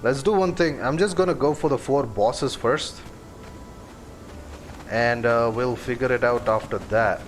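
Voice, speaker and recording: Slow, rambling male game streamer. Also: mid-range voice, a little hiss or hum. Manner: unhurried, rambling, many fillers. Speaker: gameplay streamer. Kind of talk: casual live-stream commentary.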